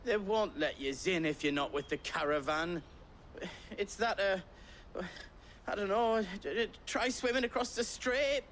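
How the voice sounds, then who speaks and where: A timid young merchant for a video game. His voice sits very high for a man and trips over itself with nervous stammers and hesitations. Faint ambient noise runs behind him. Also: unhurried, a bit bright.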